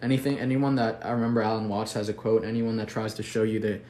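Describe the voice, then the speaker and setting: Low-voiced young man livestreaming, echoey room. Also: little pitch movement, fast talker, mellow. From Mexico City, Mexico, later the US. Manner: laid-back, slangy, affectionate toward viewers. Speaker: livestream host. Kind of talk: casual livestream chat reading viewer comments. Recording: echoey room, faint background noise.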